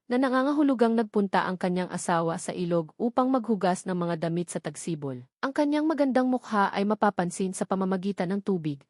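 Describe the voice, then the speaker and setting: A woman's voice: medium pitch, darkish tone, dry recording, clean studio sound. A woman with a Filipino accent reading scripted novel narration as an audiobook narrator.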